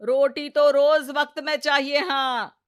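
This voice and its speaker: A domineering older mother-in-law from a cartoon family tale, acted by a woman. She speaks high and very slowly, stretching her taunts with theatrical sarcasm and scolding exclamations. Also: steady tone. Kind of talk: dramatised cartoon family story.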